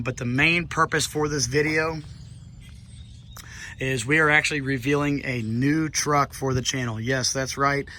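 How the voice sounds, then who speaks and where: A man hosting a car channel vlog, his voice hoarse from laryngitis, rambling with many ums as he talks beside a pickup truck outdoors. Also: mid-range voice, fairly bright tone, a little hiss or hum. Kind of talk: casual walkaround vlog.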